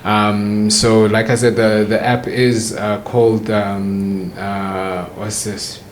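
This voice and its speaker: A man's voice: lowish voice, little pitch movement, a little hiss or hum. A man who trades for a living, talking in a casual podcast conversation and stopping often to restart his sentences. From South Africa. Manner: halting, many restarts and fillers. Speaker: forex trader.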